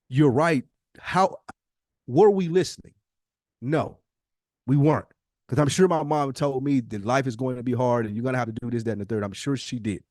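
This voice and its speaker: A man's voice: fairly high pitch, darkish tone, dry recording, clean studio sound. An American man in his early forties talking casually on a podcast, reflective and emphatic, often repeating himself to make a point. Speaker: podcast host. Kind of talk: casual conversational podcast talk.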